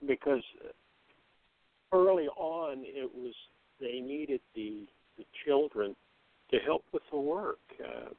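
Man, middle-aged, creaky voice, casual discussion, halting reflective delivery, narrow phone-like audio. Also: mid-range voice, sing-song, no echo. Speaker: radio play cast member. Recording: thin phone-like call audio.

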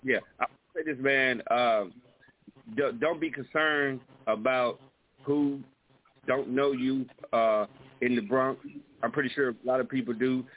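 A man, a fellow artist, giving measured, encouraging advice in a casual chat. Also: medium pitch, steady tone. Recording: tinny phone-like line.

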